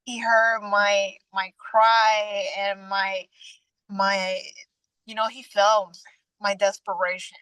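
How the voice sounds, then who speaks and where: A young adult woman from Venezuela, a guest sharing her personal faith testimony in an earnest, halting way with frequent restarts. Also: medium pitch, level tone, fairly bright tone, smooth, no room sound, low-quality recording.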